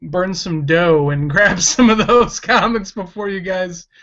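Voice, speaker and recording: A man's voice: high voice, steady tone, unhurried, fairly bright tone. A man of thirty-two hosting a casual podcast, speaking with enthusiasm and frequent restarts. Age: 30s. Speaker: podcast host. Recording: dull lo-fi sound.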